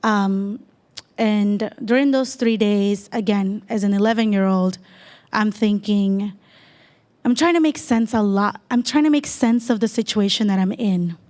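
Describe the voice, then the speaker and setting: A woman's voice: mid-range voice, a little slow, a little mellow. A woman in her twenties from El Salvador, a native Spanish speaker, tells an audience her life story in an earnest, reflective way with frequent ums. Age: 20s.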